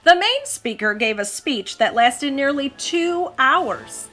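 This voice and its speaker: Woman, higher pitch, children's read-aloud host, expressive delivery, casual asides to kids, background music. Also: slow. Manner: expressive, addresses child listeners with questions. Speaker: host, mother reading to her child.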